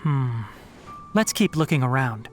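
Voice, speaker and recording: A man voicing a young scholarly investigator in a video game, with a mid-pitched, very inflected voice. He is calm and analytical, reasoning thoughtfully over faint music. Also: close to an everyday voice. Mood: pensive, analytical. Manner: thoughtful, measured, deductive. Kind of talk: English game dub dialogue.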